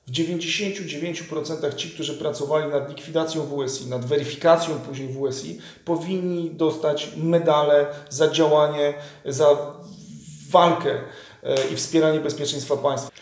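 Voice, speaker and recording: A man's voice: a little high, steady tone, moderate pace. Man, thirties, journalist interviewer, earnest, hesitant fillers, echoey room. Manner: earnest, frequent fillers and restarts. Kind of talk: political interview. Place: room with leather sofa, indoors.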